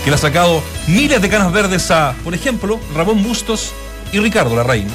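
A man's voice: high voice, sing-song, brisk. A man hosting a radio sports show, introducing a farewell tribute in a playful, joking way. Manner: playful, jokey, with asides to the panel. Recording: music playing behind.

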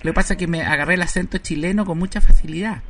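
A man's voice: sits high, racing, sharp tone, slight background noise. A man voicing a chatty, nosy woman caller who keeps pressing with questions on a prank call. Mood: pestering.

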